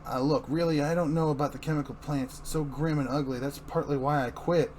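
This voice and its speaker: A man's voice: on the high side, steady tone, brisk, darkish tone, slight background noise, natural voice. A man playing an anxious, self-doubting young husband and failed comedian, hesitant and rambling, in an amateur comic reading. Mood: nervous.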